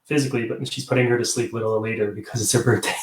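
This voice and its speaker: A man's voice: medium pitch, fast. A man hosting a live online theater talk show over a video call, chatting casually and rambling with many restarts and chuckles. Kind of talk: casual chat. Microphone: video call stream. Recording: roomy echo.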